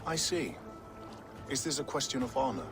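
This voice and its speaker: An actor playing a courteous, diplomatic Viking king in a video game, speaking in a measured, formal way. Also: mid-range voice, expressive, gravelly, over music. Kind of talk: video game cutscene acting.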